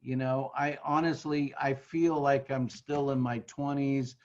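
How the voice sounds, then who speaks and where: A man's voice: medium pitch, flat delivery, unhurried, darkish tone. Man in his sixties, producer guest, chatty and self-deprecating, on muffled call audio. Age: 60s. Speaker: record producer, panel guest. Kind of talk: casual panel chat. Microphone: video call.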